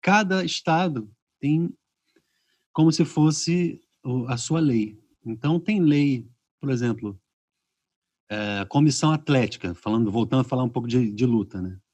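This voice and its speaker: A man's voice: mid-range voice, normal speed, no room sound. A Brazilian man hosting a podcast over a video call, telling stories casually and acting out reactions. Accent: Brazilian Portuguese. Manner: animated storyteller, acts out reactions. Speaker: podcast host.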